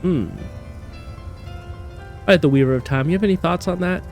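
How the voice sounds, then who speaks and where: A man's voice: a little high, normal speed, soft background music. A man giving casual game commentary, thinking aloud and speculating as he plays. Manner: musing, speculative. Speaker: gamer doing a playthrough.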